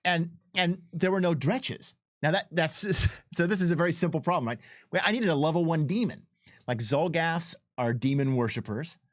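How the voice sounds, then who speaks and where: A man's voice: on the high side, moderate pace, dry recording, studio quality. Emphatic man chatting about game design. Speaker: game developer on a commentary stream. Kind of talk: casual unscripted conversation about game design.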